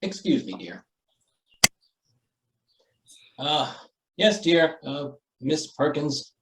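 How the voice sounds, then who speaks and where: A man's voice: fairly high pitch, sing-song, takes it slowly, far from the mic. A man playing a flustered, placating newspaper editor in an old-time radio comedy, hemming and dryly wisecracking. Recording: roomy stage, away from mic.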